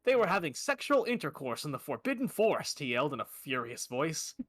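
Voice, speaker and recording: A man with a fairly high voice reads fan fiction aloud in a comic, casual way. He slips between narration and banter with friends. Faint music plays behind him. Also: very animated, brisk, fairly bright tone.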